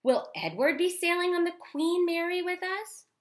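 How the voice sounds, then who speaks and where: Woman putting on a high, uncertain little girl. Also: animated, moderate pace. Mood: anxious, pleading. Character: eager, anxious eleven-year-old girl in a storybook.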